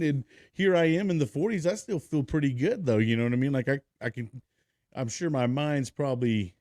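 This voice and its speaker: A man's voice: fairly high pitch, a little mellow, no echo, clean studio sound. A man in his forties hosting a podcast interview, talking in a laid-back, slangy way and swearing casually. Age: 40s. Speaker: podcast host.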